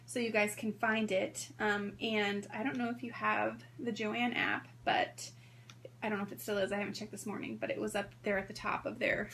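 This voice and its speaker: A woman in her thirties hosting a sewing livestream in a hoarse voice, chatting casually and greeting viewers by name. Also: mid-range voice, little pitch movement, normal speed, fairly bright tone, slight background noise. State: hoarse from strep throat.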